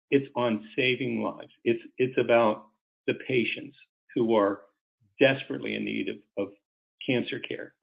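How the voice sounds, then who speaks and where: A middle-aged or older man, a company executive, speaking earnestly and deliberately to investors on a conference call, formal but halting, often repeating words. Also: mid-range voice, gravelly. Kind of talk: semi-scripted remarks to investors on a conference call. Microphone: conference call line. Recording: dull, lo-fi conference call audio.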